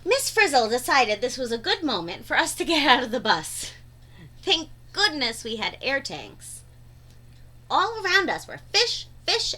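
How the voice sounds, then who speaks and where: Female storybook reader, high bright voice, expressive and dramatic delivery for a young audience. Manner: animated, dramatic emphasis with drawn-out words. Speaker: teacher reading aloud to children. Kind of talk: expressive storybook read-aloud.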